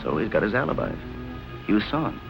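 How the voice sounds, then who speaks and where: A scornful, evasive club owner from a forties crime film. His voice is deep and curt, with loud soundtrack music behind it. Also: sing-song, brisk, vocal fry, rough recording. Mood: scornful, denying. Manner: curt, dismissive. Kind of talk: 1940s film noir acting.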